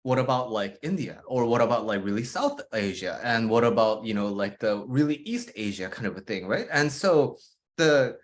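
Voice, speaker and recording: A man's voice: medium pitch, expressive, normal speed. A man speaking as an interview guest over a video call, reflective and loose, with many fillers. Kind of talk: casual conversational interview.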